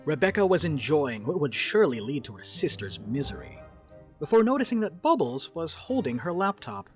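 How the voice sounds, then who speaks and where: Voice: mid-range voice, big pitch swings, moderate pace, faint music behind. A narrator reading scripted stage narration for a dramatic reading.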